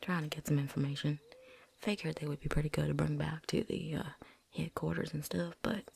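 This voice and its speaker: A woman's voice: sits low, steady tone, creaky, darkish tone, breathy edge, no room sound, full character voice. A woman playing a chatty, cocky, seasoned vampire hunter in a whispered ASMR roleplay, rambling folksily. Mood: chatty, reminiscing. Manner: folksy, rambling, with drawn-out asides.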